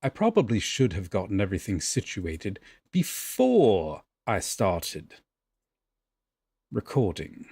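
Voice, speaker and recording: A man's voice: on the low side, huge pitch swings, takes it slowly, gravelly, warm tone, slightly rough, no echo. A man chatting casually off-script between takes as a voice actor, profane and amused at himself. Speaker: amateur voice actor recording audio roleplay.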